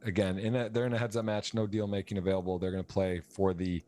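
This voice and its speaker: An American man with a fairly low voice hosts a live poker stream. He calls the hands in a rambling way, with many restarts and repeated words. His pace picks up and his energy rises when a big hand plays out. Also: level tone, quick pace, dark tone. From United States. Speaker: poker commentator and stream host. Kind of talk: live play-by-play commentary.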